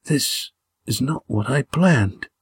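A man voicing a gravely wounded man in an audiobook, breathy, labored and halting, gasping in pain, urgent but fond. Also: medium pitch, animated, no room sound, studio quality, voice pushed up, exaggerated voice. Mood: weak, wistful.

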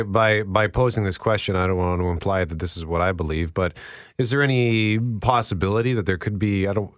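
A Canadian male radio interviewer with a fairly low voice asks questions in a careful, tactful way. He is tentative in his phrasing. The sound is dry. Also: fairly bright tone, no echo.

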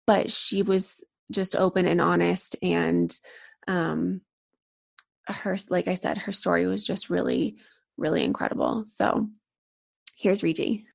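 A woman hosting a podcast, introducing her guest earnestly and softly, with gravelly, husky tones. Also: lowish voice, unhurried. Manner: earnest, soft, with fillers. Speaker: podcast host.

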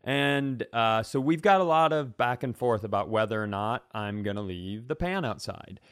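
American man, podcast co-host, average pitch, casual comic storytelling, rambling, self-deprecating. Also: animated, moderate pace, clean tone.

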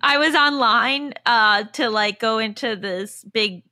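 A woman's voice: fairly high pitch, takes it slowly, bright, forceful tone. A woman co-hosting a comedy podcast, chatting and telling stories informally with a self-deprecating streak. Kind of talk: casual comedic chat and anecdote.

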